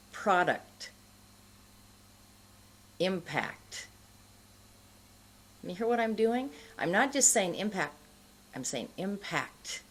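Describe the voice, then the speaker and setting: A middle-aged woman giving an instructional pronunciation lesson to camera, enunciating deliberately and carefully. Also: lowish voice, slightly rough. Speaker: pronunciation teacher.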